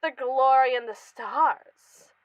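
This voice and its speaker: A woman's voice: high voice, slow, no echo, muffled recording. A woman playing a pompous, callous space mogul in a scripted, theatrical ASMR roleplay monologue, condescending to the colonists beneath her. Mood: condescending, mock-sweet. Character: haughty, callous female space mogul lording over colonists.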